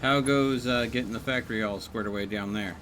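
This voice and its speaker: A man streaming a game, chatting casually in a rambling, halting way. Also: lowish voice, steady tone, fairly bright tone, slight background noise. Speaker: live streamer. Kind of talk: casual gaming livestream chat.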